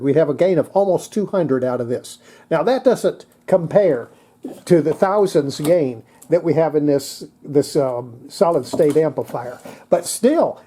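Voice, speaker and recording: A presenter giving a casual hands-on technical demonstration, thinking aloud in a halting way. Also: medium pitch, dark tone, slightly rough. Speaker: presenter demonstrating antique radios.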